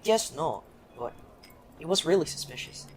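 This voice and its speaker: A man's voice: a little high, lively intonation, normal speed, a little hiss or hum, close to an everyday voice. A man playing a casual, suspicious teenage schoolboy wizard in a fan audio drama. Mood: uneasy, suspicious.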